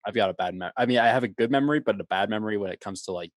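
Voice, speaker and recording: Low-voiced man with a stutter. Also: rapid-fire, no room sound, studio quality. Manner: stutter, lots of "like" and swearing. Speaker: podcast guest, band vocalist. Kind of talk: casual chat.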